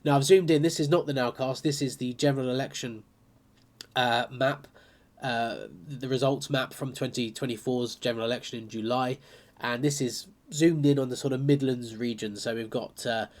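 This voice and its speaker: Man, stuffy with a cold, casual map commentary, mid-pitched, loose and chatty. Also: steady tone, moderate pace. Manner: chatty and conversational, with frequent fillers. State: bunged up with a cold. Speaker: political commentator. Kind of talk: casual analysis talking over a map.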